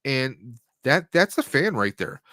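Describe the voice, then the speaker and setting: A man's voice: medium pitch, big pitch swings, slow pace, bright, forceful tone, dry recording. Guy streamer doing a casual review, rambly and jokey, pretty dry close sound. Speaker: live stream host reviewing movies. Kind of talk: casual movie review chat.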